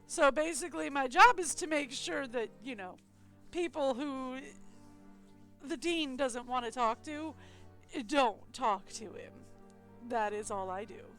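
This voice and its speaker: High-voiced woman streamer, chatty and sarcastic, soft game music behind. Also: measured pace. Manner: chatty, sarcastic, self-mocking. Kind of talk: live game playthrough commentary.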